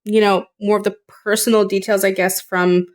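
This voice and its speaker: A woman's voice: medium pitch, level tone, moderate pace. A woman in her thirties co-hosting a podcast, chatting casually, swearing freely and laughing often as she retells scenes. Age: 30s. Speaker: podcast co-host. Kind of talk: casual chat.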